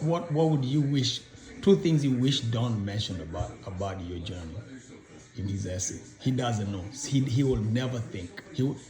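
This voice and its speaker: A man's voice: medium pitch, darkish tone. A middle-aged man asking questions casually, rephrasing as he goes and prompting for answers. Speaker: interviewer, father of the guest. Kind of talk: casual interview. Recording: roomy echo with music behind.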